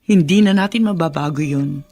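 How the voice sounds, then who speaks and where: A woman's voice: sits low, moderate pace, warm tone, dry recording, soft background music. An actress playing a middle-aged widowed mother in a radio drama, sorrowful and soothing as she consoles. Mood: tender sorrow. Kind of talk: melodramatic radio drama acting.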